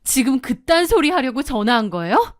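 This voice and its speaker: A woman's voice: high voice, sing-song, normal speed, no echo, studio quality, pitched above the performer's own voice. A woman playing a hot-tempered betrayed wife in an audio drama, blunt, incredulous and indignant. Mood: cold disbelief.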